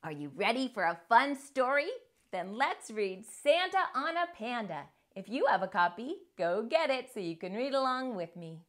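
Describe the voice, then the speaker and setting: A middle-aged woman hosting a children's storytime, speaking in an animated, expressive way to young readers. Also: a little high, moderate pace. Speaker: host of children's read-aloud show. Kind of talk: children's storytime read-aloud and presenting. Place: small furnished room indoors. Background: music.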